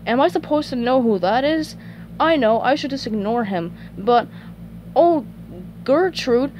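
A grumpy male sea creature stuck at a cash register acted by a woman in a children's storybook. He grumbles in a weary deadpan with plenty of exasperation. There is noise behind the voice. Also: high voice, measured pace, poor audio. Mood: irritated. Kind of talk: children's storybook character reading.

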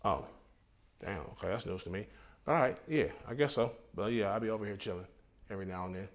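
A man's voice: on the low side, quick pace, lightly acted. Man acting brazen slangy American slacker. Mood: laid-back bragging. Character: laid-back, brazen, broke late-night hookup guy. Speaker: actor in a comedy sketch. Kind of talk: casual comedic dialogue in American street slang. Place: furnished indoor room, filmed sketch.

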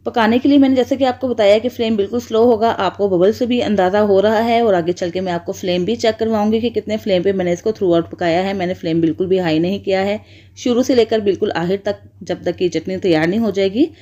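A woman gives a patient home cooking tutorial. She repeats each step carefully. Her voice is mellow and relaxed, and the recording sounds a little dull. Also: mid-range voice. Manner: patient, repetitive step-by-step instruction. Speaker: cooking channel host.

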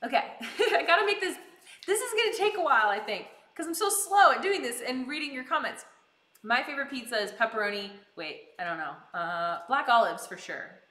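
A woman's voice: high voice, lively intonation, fairly quick, pushed and bright. A bubbly, giggly woman chatting casually with live viewers as she hosts a stream, full of exclaimed greetings. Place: indoors in a kitchen. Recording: roomy, echoing indoor sound.

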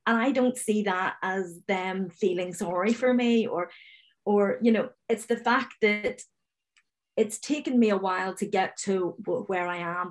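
Northern Irish woman, 40s, video call panellist; open stammer with repeats and blocks, unhurried, self-assured. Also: medium pitch. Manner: confident, direct. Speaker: webinar panellist, finance manager. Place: home room, indoors. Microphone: video call webcam.

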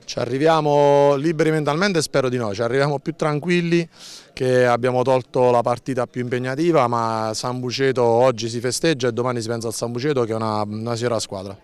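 Middle-aged club executive, clear voice. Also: male voice, medium pitch, fairly even intonation, brisk, dry recording. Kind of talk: post-match interview. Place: outdoors by a football pitch.

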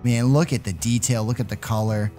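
A man hosting a gaming video, giving excited, exclamatory gameplay commentary with playful mock alarm as he addresses his viewers. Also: fairly low pitch, fairly quick, faint music behind.

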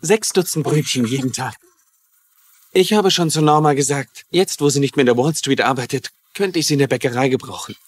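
A man's voice: medium pitch, brisk, dry recording, close to an everyday voice. A man playing a plain-spoken, friendly bakery owner in a dubbed TV drama.

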